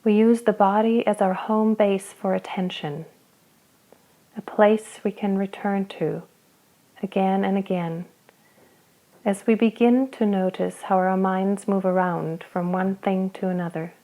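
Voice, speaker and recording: A woman guiding a body scan meditation, speaking slowly and gently with long pauses between phrases. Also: mid-range voice, fairly even intonation, rough recording. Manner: slow, soft, gentle guidance with long pauses. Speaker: meditation guide.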